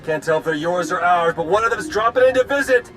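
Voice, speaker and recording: An alert lookout in a game cutscene. His voice runs high and swings widely, urgent, with music underneath. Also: animated, fast talker. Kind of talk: video game cutscene acting.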